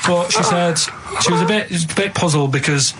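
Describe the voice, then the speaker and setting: A man's voice: high voice, normal speed, noisy background. A man chatting casually on radio, telling stories in a matter-of-fact, rambling way. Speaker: radio show co-host. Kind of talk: casual radio banter and anecdotes. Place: radio studio.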